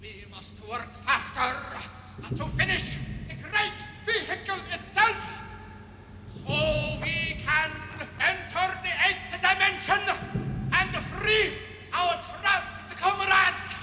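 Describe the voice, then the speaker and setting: An actor playing a manic, alien-possessed mad scientist with a bellowing, exaggerated Italian accent. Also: male voice, lively intonation, slow pace, noisy background, heavily acted. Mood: grandiose. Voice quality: bellowing, shrill, exaggerated diction. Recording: roomy film soundtrack with reverb.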